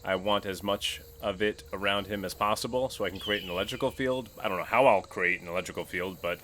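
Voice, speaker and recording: A man talks casually as a player in a tabletop game, working out his plans aloud. His voice is on the low side with a bright, tense edge, and there is faint noise behind him. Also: fast. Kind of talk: casual chat, thinking through game moves.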